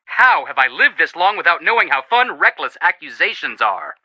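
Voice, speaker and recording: An actor voicing an excitable, flippant young male amateur detective in a video game, joking in an exaggerated, mock-dramatic way. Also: a little high, normal speed, dry recording, heavily acted. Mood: teasing. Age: young adult.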